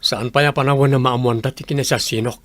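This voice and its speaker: A man playing a secretive, composed well-off gentleman in an Ilocano radio drama, brooding and deliberate. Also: mid-range voice, steady tone, no room sound, close to an everyday voice. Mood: confiding.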